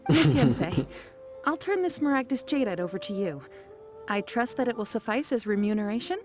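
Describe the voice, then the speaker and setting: A woman playing a courteous, earnest and business-minded young gentlewoman in a video game, speaking in a measured, sincere way. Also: on the high side, big pitch swings, faint music behind. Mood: grateful.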